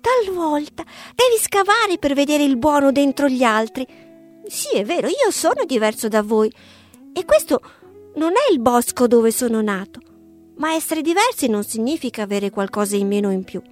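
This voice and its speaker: A woman voicing a big, kind, lonely young male bear in a children's audio story, talking softly and earnestly. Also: high-pitched, sing-song, moderate pace, soft background music, pitched above the performer's own voice.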